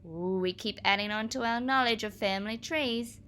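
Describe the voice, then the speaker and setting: A woman teacher reading aloud to young children, explaining as she goes in a clear, expressive manner. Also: fairly high pitch, moderate pace, slight background noise.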